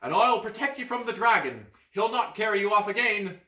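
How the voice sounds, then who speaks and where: A man's voice: sits high, lively intonation, a little fast, sharp tone, echoey room, distant mic, voice pushed up. A man voicing a brash young warrior boy from the past who speaks in old-fashioned heroic phrases. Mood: bold heroic.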